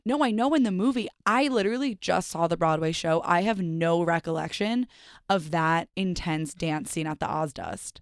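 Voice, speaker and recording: A woman's voice: lowish voice, normal speed, no room sound, clean studio sound. A young woman guest on a podcast, talking casually in a playful, rambling, self-deprecating way. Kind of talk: casual chat.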